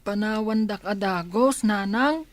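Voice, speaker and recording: An actress playing a pleading, dutiful school-age child who is eager to study, in a Filipino radio drama. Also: female voice, mid-range voice, measured pace, dry recording, lightly acted. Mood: meek, dismayed.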